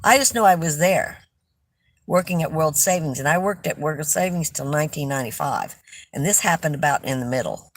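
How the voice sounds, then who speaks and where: An older woman from California, hosting a casual livestream, chatting with viewers and telling stories in a loud voice. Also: deep voice, lively intonation, fairly quick. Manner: chatty, warm storytelling with restarts. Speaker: livestream host. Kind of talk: casual livestream chat reading viewer comments.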